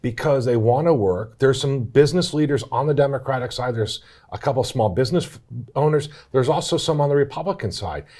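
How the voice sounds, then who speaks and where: A man's voice: medium pitch, animated, brisk, a little mellow. A man speaking as an interview guest in a casual, emphatic way, often repeating his phrases. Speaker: interview guest, business PAC chair.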